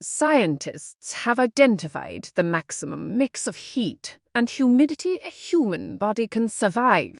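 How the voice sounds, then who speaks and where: A woman's voice: medium pitch, creaky, dry recording, clean studio sound. A woman reading scripted practice passages aloud as a test-prep narrator.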